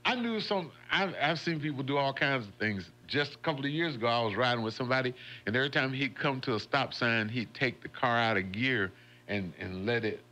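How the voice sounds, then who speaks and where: A man's voice: mid-range voice, fairly quick, pushed and bright. A man hosting a morning radio show, chatting in a folksy way and laughing often. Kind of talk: casual radio chat. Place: radio studio. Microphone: studio microphone.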